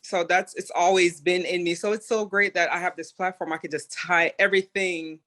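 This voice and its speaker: A woman from Jamaica, a home cook and food creator, chatting as an interview guest in an upbeat, animated way. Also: on the low side, brisk. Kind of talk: casual interview chat.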